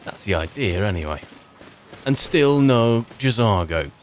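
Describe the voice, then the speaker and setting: A man's voice: lowish voice, animated, unhurried, a little hiss or hum. A man improvising in-character commentary as he roleplays through a video game, musing and wry as he chats.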